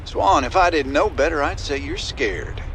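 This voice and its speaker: A man's voice: a little high, lively intonation, normal speed, a bit bright, a little hiss or hum. A man playing a tough, confident outlaw commander in a video game, giving terse, cowboyish orders. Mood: steady, commanding. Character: tough, confident male outlaw commander leading troops underground.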